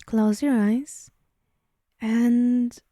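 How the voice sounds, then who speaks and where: A woman playing a possessive, teasing female demon in an ASMR roleplay, menacing yet indulgent, hushed and speaking directly to the listener. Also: fairly high pitch, fairly even intonation, extremely slow, no echo, studio quality. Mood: possessive, reassuring.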